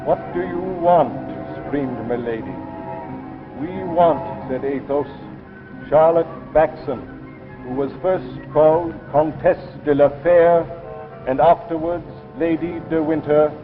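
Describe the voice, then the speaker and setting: Through a very thin, narrow old radio recording with music behind comes an imperious reading machine. Its fairly high male voice is formal and declamatory, as in a vintage sci-fi radio play. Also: steady tone, takes it slowly. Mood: solemn storytelling. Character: talking reading machine, bookish, turning imperious and defiant. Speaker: machine voice reading classic novels aloud. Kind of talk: 1950s radio drama, literary narration.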